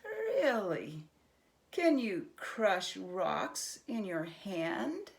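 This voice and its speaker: A brave and clever storybook hero read by a woman, speaking slowly and with confidence. He sounds bold and challenging, setting out each phrase deliberately. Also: mid-range voice, sing-song, vocal fry, exaggerated voice. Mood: calm, challenging. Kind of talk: acted children's storybook reading.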